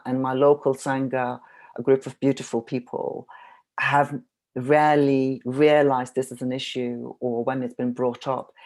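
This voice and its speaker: A panelist from Iceland speaking slowly and thoughtfully on a video call, pausing often. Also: medium pitch, darkish tone. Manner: thoughtful, many pauses. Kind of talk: reflective discussion.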